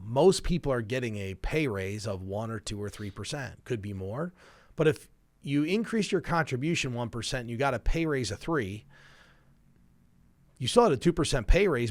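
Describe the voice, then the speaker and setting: Male money-show host, middle-aged, average pitch, explanatory and conversational, very dry room. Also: dry recording. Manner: explanatory, illustrates with hypothetical first-person quotes. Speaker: financial planner and show host. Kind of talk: conversational personal finance advice show.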